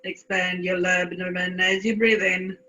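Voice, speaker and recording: A woman from South Africa leading a guided meditation, speaking in deliberate phrases with long pauses. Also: on the low side, flat delivery, bright, forceful tone, slight background noise.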